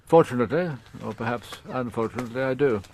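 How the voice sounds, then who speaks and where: A man playing a dignified, middle-aged upper-class father in a radio drama, confessing in formal, halting, precise phrases. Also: mid-range voice, a little hiss or hum, close to an everyday voice. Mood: reflective, formal.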